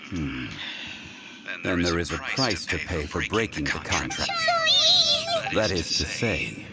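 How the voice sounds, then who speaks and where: A man voicing an ancient god in human form, grave and composed, speaking in formal, deliberate game dialogue. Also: low-pitched, expressive, very noisy, full character voice. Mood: solemn, grave. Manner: formal, measured, deliberate storytelling. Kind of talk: scripted video game dialogue. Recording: game dialogue under loud music.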